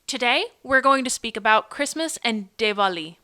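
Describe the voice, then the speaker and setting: Bright, clear American woman telling her story. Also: a little high, expressive, normal speed. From Pennsylvania, United States. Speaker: guest. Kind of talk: personal storytelling and presentation.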